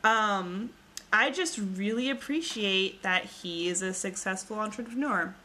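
A woman's voice: medium pitch, measured pace, noisy background. A young woman co-hosting a casual comedy podcast, crude and joking, often laughing as she talks. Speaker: podcast co-host.

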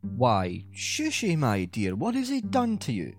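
An easygoing old laird teases in a chuckling, lively voice, as a male narrator puts him on. His pitch swings up and down as he goes. Soft music plays underneath. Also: unhurried. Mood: amused. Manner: chuckling, teasing.

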